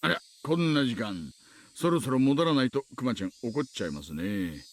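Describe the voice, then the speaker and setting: An actor playing a sly, easygoing middle-aged police detective in a Japanese visual novel, casually polite and probing with a wry edge. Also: male voice, medium pitch, very animated, rapid-fire, slight background noise.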